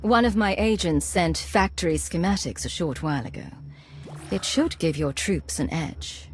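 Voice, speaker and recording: An actress playing a cool, composed female agent in a video game, speaking in a measured, businesslike way. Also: on the low side, lively intonation, a little hiss or hum, lightly acted. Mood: matter-of-fact.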